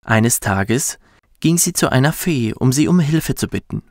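A man narrating a children's bedtime story in a soft, soothing, measured way. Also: mid-range voice, expressive, no room sound, clean studio sound. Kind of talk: gentle scripted storytelling for children.

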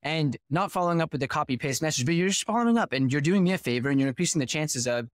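A man in his thirties, a startup founder, telling stories in an articulate, animated way as a podcast guest on a video call. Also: fairly high pitch, rapid-fire, dry recording, studio quality. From United States. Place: small room with curtain backdrop. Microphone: headset with close mic.

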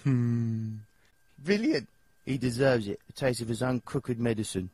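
A nervous, childlike young English singer from a cartoon game. His voice is husky and swoops up and down as he rambles in slang, hesitant and a little dim. The recording is close and dry. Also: medium pitch, animated, unhurried, a little mellow, no echo. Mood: dismissive, chatty. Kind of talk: video game character dialogue.

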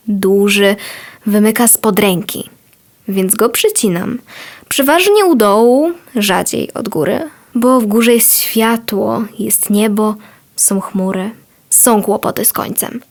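A woman reciting a poem in a measured, deliberate way. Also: medium pitch. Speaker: poem reader. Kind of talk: poetry recitation.

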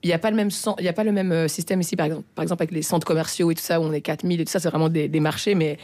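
A woman's voice: deep voice, fairly even intonation, very fast, a little mellow, no echo. A young woman whose first language is not French, a guest answering interview questions in a radio studio with many fillers and restarts. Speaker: guest musician. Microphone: studio mic, headphones.

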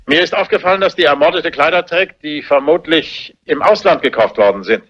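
Modest doctor: bright voice, careful and deferential, on a dull vintage soundtrack. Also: male voice, fairly high pitch, brisk. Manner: hesitant, polite, careful. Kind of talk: German crime film dubbing, theatrical dialogue.

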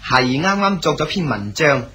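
An actor with a Cantonese accent playing a dutiful adult son who speaks politely and deferentially. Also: male voice, a little high, big pitch swings, normal speed, sharp tone. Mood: respectful.

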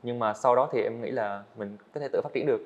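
A man's voice: medium pitch, fast talker, muffled recording. A young man, a guest animator, earnestly explaining his work step by step in a casual interview on a studio set.

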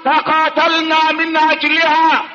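A man preacher giving an impassioned, rhythmic sermon that rises to shouted calls to the congregation. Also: very high voice, monotone, measured pace. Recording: echoey hall, dull sound, faint crowd.

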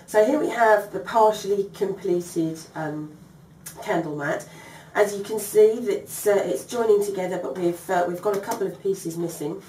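A woman's voice: mid-range voice, normal speed, dark tone, a little hiss or hum. A woman in her thirties, voice strained from a recent cold, chats through a sewing tutorial with many ums and apologies. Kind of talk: casual craft tutorial. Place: home dining room by garden windows. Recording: echoey room, slightly distant mic.

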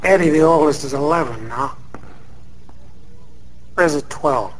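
An actor playing a weary, polite, absent-minded middle-aged hotel night clerk in a radio drama, with a detached, deferential manner. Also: male voice, a little high, measured pace, lightly acted. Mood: weary, distracted. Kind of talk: 1990s radio drama acting. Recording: faint background noise.

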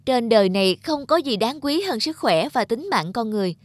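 A woman's voice: a little high, expressive, fairly quick, natural voice. A woman voicing a kind, earnest young friend in a cartoon drama, reassuring. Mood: gentle, earnest.

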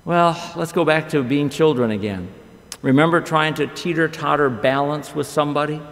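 Gravelly-voiced pastor preaching in a chapel. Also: male voice, fairly high pitch, normal speed. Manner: animated storytelling with wide gestures and rhetorical questions. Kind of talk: chapel sermon. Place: large chapel with long reverb. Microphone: podium microphone. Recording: echoey chapel hall.

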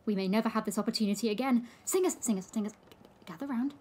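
A woman playing a bossy, excitable village choir leader in an audio drama, theatrical and eager. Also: on the high side, fast talker, close to an everyday voice. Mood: conspiratorial, urgent. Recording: noisy train carriage ambience.

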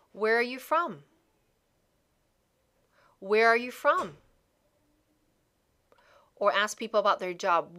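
A woman teaching an English lesson over slides, modeling phrases slowly and repeating them for practice. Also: medium pitch, sharp tone, smooth.

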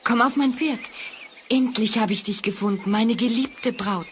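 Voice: mid-range voice, moderate pace, fairly bright tone, a little hiss or hum, close to an everyday voice. Actor as an earnest young fairy-tale prince. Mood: tender, resolute. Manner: earnest, formal, declaiming.

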